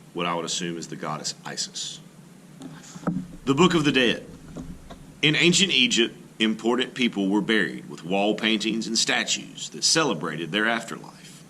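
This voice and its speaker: Lively man reading a lesson aloud. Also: on the low side, slight background noise. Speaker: art teacher reading aloud. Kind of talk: read-aloud lesson narration with brief explanatory asides.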